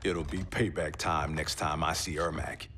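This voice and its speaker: An actor playing a gruff young soldier with metal arms in a video game cutscene. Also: male voice, a bass voice, steady tone, normal speed, pushed and bright, a little hiss or hum.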